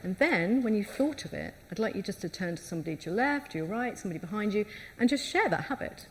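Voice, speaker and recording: A woman's voice: medium pitch, lively intonation, fast, a little hiss or hum. A woman co-presenting a live conference session, explaining and speaking directly to the audience.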